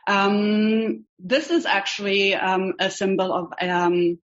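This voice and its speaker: A woman's voice: mid-range voice, steady tone, takes it slowly. A woman from Germany with a German accent, a rabbinical student teaching an online class, hesitant and full of ums. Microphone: video call. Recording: dull lo-fi video call audio.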